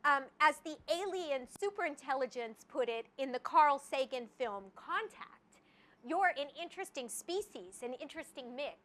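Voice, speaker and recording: A woman giving an academic lecture to an audience, lively, with asides and rhetorical questions tagged on with "right?". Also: high-pitched, moderate pace. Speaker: guest lecturer. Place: lecture hall. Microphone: handheld or podium mic.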